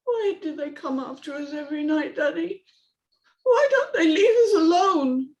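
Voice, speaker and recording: A woman's voice: high-pitched, expressive, moderate pace, dull audio, voice pushed up. A woman voicing a scared, stubborn seven-year-old girl in a dramatic script reading, with a pleading, childlike manner. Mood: frightened. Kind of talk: dramatic script table read.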